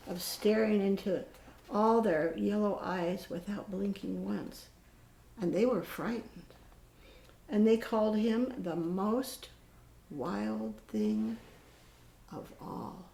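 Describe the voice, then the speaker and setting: Older female storyteller, grandmotherly, creaky voice, expressive picture-book read-aloud, recorded in an echoey room. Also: medium pitch. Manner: slow, dramatic, with drawn-out pauses and stressed words. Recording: roomy echo with faint background noise.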